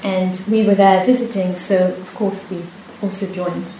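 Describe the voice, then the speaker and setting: A woman whose first language is Hebrew, a meditation teacher telling a story in a calm, unhurried way with many pauses. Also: medium pitch. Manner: soft-spoken, unhurried, with many pauses and restarts. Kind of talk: dharma talk with personal story. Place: retreat hall. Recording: echoey hall, faint hiss.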